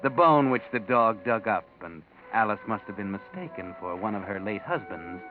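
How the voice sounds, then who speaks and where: An American actor playing a sardonic, knowing storyteller in a 1940s radio mystery, with wry, deliberate emphasis. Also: male voice, fairly low pitch. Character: sardonic, knowing omniscient male storyteller of crime tales. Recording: tinny 1940s radio, music behind.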